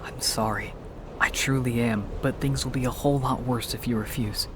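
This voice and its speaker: A man's voice: medium pitch, steady tone, normal speed, lightly acted. Man playing an anxious stranger over train noise. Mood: pleading. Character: anxious, earnest male stranger pleading on subway. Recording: noisy subway train ambience.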